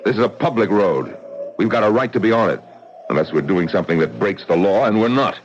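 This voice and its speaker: A man's voice: on the low side, lively intonation, brisk, lightly acted. A man playing a tough, defiant widower. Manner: blunt, combative. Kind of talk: 1950s radio acting. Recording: dull old radio recording with noise.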